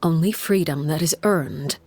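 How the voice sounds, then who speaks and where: An actress playing a composed, stern woman leader in a video game, speaking in a measured, formal, grave way. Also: low voice, darkish tone, breathy edge, exaggerated voice. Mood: firm, composed. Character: solemn, composed adult woman, stern leader of an orphan house. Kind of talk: dramatic video game voice acting.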